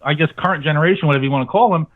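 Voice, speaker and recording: A man's voice: fairly high pitch, very fast. A man speaking as an interview guest in casual, talkative, informal conversation. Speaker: podcast network owner, guest. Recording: tinny phone-like line.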